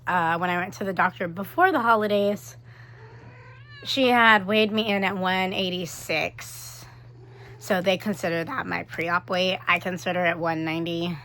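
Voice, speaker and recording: A woman vlogging to her camera in a chatty, animated way, with a cold and her voice starting to fade. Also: fairly low pitch. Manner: chatty, animated, quick asides. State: sick with a cold, voice fading. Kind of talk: casual chat to camera.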